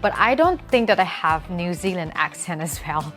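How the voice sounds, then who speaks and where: A young woman from Thailand, whose first language is Thai, talks straight to her audience as a vlogger. Her voice sits a little low for a woman, and she stresses and repeats her key points over faint music. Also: expressive, moderate pace, a bit bright. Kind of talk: talking to camera, explanatory vlog with sponsor pitch.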